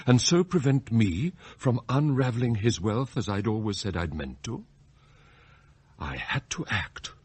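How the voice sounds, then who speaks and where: An actor playing a scheming, deferential English butler in a radio drama, formal, unctuous and theatrical. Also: male voice, lowish voice, warm tone, breathy edge, full character voice. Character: scheming, outwardly deferential butler, secretly anguished and devoted. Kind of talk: radio drama acting, confessional narration and dialogue.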